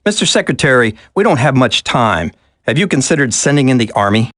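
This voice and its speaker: Government aide, game dialogue, average pitch, polite, formal, deferential, dry recording. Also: male voice, sing-song, a little fast, a bit bright, dry recording. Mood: earnest, deferential. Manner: polite, formal, reporting to a superior. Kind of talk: video game voice acting.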